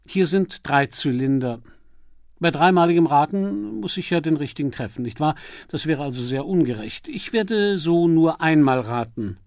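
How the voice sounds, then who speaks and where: A man voicing a breezy, teasing aristocratic gentleman detective in an audiobook, with a playful, mocking, exclamatory manner. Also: on the high side, lively intonation, moderate pace, dry recording, studio quality, pitched above the performer's own voice. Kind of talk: audiobook character acting.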